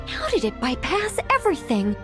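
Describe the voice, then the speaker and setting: Female angel for a game: fairly high voice, courteous, formal, earnest, music underneath. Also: huge pitch swings, normal speed, a bit bright, heavily acted. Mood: apologetic. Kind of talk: video game character acting.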